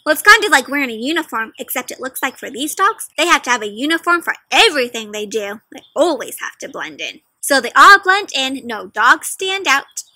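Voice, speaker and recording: A young adult woman hosting an interactive picture book read-aloud, questioning young children directly in an animated, teacherly way. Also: high voice, fairly quick, few pauses, no room sound. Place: outdoors in a leafy garden.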